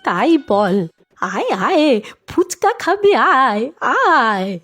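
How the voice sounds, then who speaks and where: A doting elder cartoon relative, played by a woman, welcomes in a high voice. The words come slowly and lilting, with music faintly underneath. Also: big pitch swings, a bit bright, full character voice. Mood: welcoming. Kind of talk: children's cartoon voice acting.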